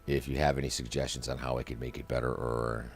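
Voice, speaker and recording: A man with a smooth, buttery voice, rambling casually to his viewers while playing a flight simulator. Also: rumbling low, flat delivery, quick pace. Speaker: flight sim content creator. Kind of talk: casual gameplay commentary.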